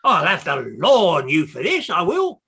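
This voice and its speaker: Husky clerk in a radio comedy, servile, melodramatic, voice swooping all over. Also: male voice, high voice, huge pitch swings, normal speed, bright, forceful tone, exaggerated voice. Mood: outraged. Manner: melodramatic, exclamatory, obsequious toward betters. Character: indignant, fawning male clerk, servile and excitable. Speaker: actor in a comic play. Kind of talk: theatrical radio comedy acting.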